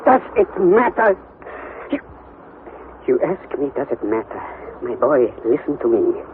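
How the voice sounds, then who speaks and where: An actor playing an elderly, pedantic professor uncle in a vintage radio drama, excitable and impatient, exclaiming and repeating words for emphasis. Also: male voice, mid-range voice, measured pace. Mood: irritable, impatient. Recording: tinny, band-limited vintage radio, faint noise.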